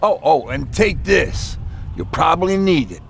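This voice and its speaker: Animated, gravelly male survivor leader. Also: fairly high pitch, unhurried, a little hiss or hum, full character voice. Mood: composed, friendly. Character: anxious, friendly male survivor group leader in post-apocalypse. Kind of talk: video game dramatic acting.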